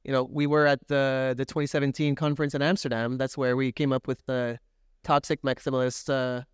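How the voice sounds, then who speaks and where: A man on a conference panel speaking in a halting way, with many restarts. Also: medium pitch, level tone, smooth, no room sound. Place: conference stage.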